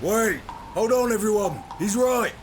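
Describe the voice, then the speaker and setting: An actor playing a bossy, commanding castaway in an audio drama, emphatic in delivery. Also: male voice, sits high, animated, a little slow. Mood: urgent. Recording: loud music behind the voice.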